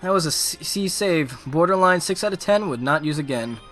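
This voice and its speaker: A young man doing casual, slangy let's-play commentary. His voice is high and very animated, with an excitable delivery. Faint game music plays behind him. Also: normal speed. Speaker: game streamer.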